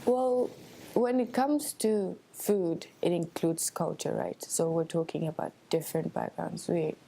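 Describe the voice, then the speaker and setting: A woman's voice: fairly low pitch, fairly even intonation, unhurried, vocal fry, dark tone, rough recording. A young woman from Switzerland giving informal, earnest interview answers as a student election candidate, thinking aloud in a conversational way. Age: young adult.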